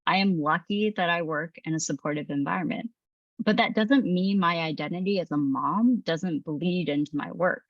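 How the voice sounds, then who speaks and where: Calm woman, muffled dry narration. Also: fairly low pitch, no room sound. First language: English. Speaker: presenter. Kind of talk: scripted training narration.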